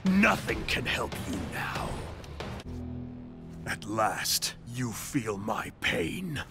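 An actor playing a wrathful, vengeful ninja warrior in a video game, speaking with slow menace. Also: male voice, lowish voice, very animated, music in the background, exaggerated voice. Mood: grimly vengeful. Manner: slow, menacing, halting delivery. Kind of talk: dramatic video game acting.

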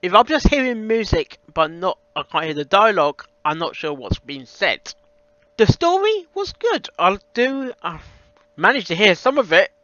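A young man with a bright, clean voice gives a casual critique. He speaks haltingly and circles back over his own phrases. The recording is close and dry, though a little dull. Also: high-pitched, very animated, no room sound. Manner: halting, repeats himself. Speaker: reaction video host reviewing a fan audio reading. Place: small room at a computer, indoors.

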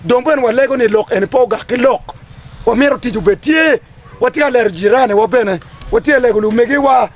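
A man's voice: very high voice, brisk. A man in his thirties with a Ugandan accent, giving an impassioned, declamatory address as a community leader. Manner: emphatic, declamatory, repetitive phrasing. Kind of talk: impassioned public address to camera. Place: outdoors under trees among a gathering.

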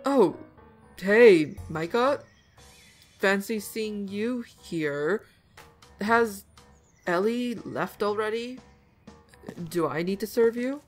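A woman's voice: mid-range voice, lively intonation, slow pace, long pauses, faint music behind. A woman playing a breezy, flippant young shop co-worker in an audio drama. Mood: casual, puzzled.

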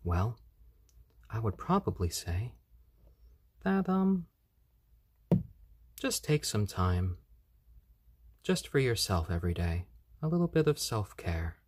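A man hosting a casual live stream at home on his phone, speaking gently to viewers and to baby chicks. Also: lowish voice, sing-song, slow, long pauses, noisy background. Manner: gentle, soft-spoken, talks to chat and chicks. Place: home room. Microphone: handheld phone.

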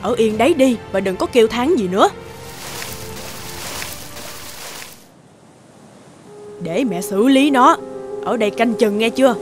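A woman's voice: on the high side, expressive, a little fast, faint music behind, natural voice. A woman voicing a greedy, bossy middle-aged nursemaid villainess in a cartoon fairy tale, with a sly manner. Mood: bossy, scolding.

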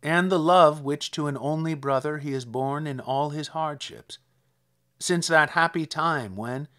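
A man reading narrative poetry aloud in a dramatic recitation, with deliberate phrasing and pauses. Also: a little high, a bit bright. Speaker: podcast host and poetry reader.

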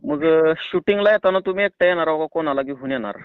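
A man's voice: on the high side, fast talker, clear, resonant tone, no echo. A man with a rural Maharashtrian Marathi accent chatting casually on the phone, persuasive and reassuring. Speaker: prank caller. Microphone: phone line. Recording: tinny phone call recording.

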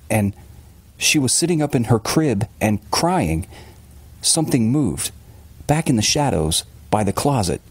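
A man's voice: on the low side, darkish tone, breathy edge, no echo. A man playing an agitated, defensive working-class father confessing a horror in blunt, colloquial words, as in an audiobook. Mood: uneasy, haunted.

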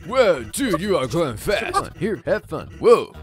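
A man voicing a laid-back skeleton hot dog vendor who delivers puns in a lazy deadpan. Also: on the high side, a little slow, faint music behind, pitched above the performer's own voice.

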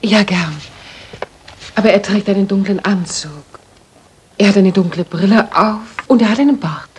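A woman's voice: mid-range voice, normal speed, a little hiss or hum, close to an everyday voice. An actress playing a worried, polite young lady in a German radio drama, recounting carefully and earnestly.

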